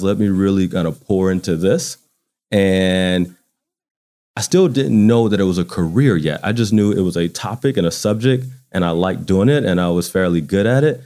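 A man's voice: low voice, mellow. A man in his forties from Louisiana with an American accent, chatting casually and reflectively as he tells anecdotes in an interview. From Baton Rouge, Louisiana, United States. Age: 40s. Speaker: interview guest. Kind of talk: casual conversational storytelling.

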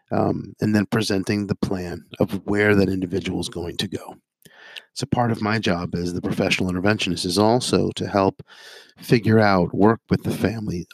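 A hoarse man, a psychotherapist and addiction interventionist, giving a halting talk to viewers full of ums and uhs and strings of listed phrases. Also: lowish voice, dry recording, rough recording. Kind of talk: informational monologue to viewers.

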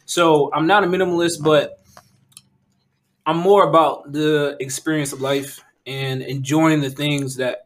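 A man from Haiti hosting a podcast, relaxed and chatty and quick to laugh. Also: fairly high pitch. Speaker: podcast host. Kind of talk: casual conversation.

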